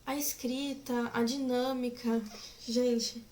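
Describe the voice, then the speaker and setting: A woman's voice: on the high side, little pitch movement, slow pace, darkish tone, slight background noise. A woman in her twenties chatting casually to camera as a vlogger, giggly and rambling. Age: 20s.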